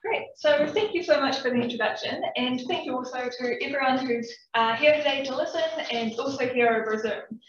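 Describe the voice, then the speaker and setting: A woman's voice: high voice, fairly quick, hardly any pauses. A young woman with a New Zealand accent, giving a friendly public science talk to a live audience. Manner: friendly, chatty, addresses audience directly. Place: large echoey lecture room. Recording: echoey, distant, dull room recording.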